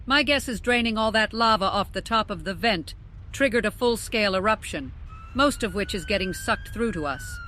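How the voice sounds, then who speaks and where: A woman's voice: medium pitch, wildly swooping pitch, moderate pace, sharp tone, a little hiss or hum. A woman playing an assertive, unflappable volcanologist, speaking in a matter-of-fact, self-assured way for an audiobook. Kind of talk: audiobook character dialogue.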